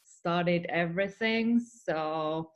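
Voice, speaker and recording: Slow-speaking woman interview host. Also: lowish voice, clear, resonant tone. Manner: gentle, encouraging. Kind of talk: scripted show intro and interview.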